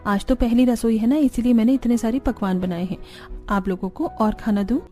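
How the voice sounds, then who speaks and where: A woman's voice: on the high side, a little fast, warm tone, soft background music, lightly acted. A woman voicing a sweet, dutiful and eager young village bride in a cartoon.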